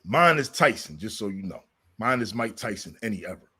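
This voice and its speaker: A man's voice: on the low side, lively intonation, brisk, bright, forceful tone, husky, no echo, clean studio sound. A man hosting a casual sports debate, talking in an emphatic, repetitive, slangy way. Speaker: boxing show host.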